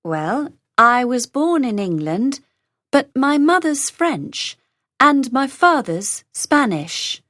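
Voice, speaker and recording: Woman, lesson dialogue reader: unhurried, pitch rising and falling a lot, dry close sound. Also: big pitch swings, fairly bright tone, no echo. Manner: slow, carefully enunciated, lines read three times over. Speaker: dialogue reader for English learners. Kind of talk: scripted lesson dialogue, each line repeated.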